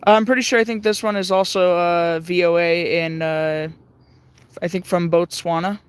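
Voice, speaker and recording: A man's voice: high voice, steady tone, normal speed, clean tone, dry recording. A young man hosting a casual livestream, thinking aloud with many hesitant fillers while tuning a radio.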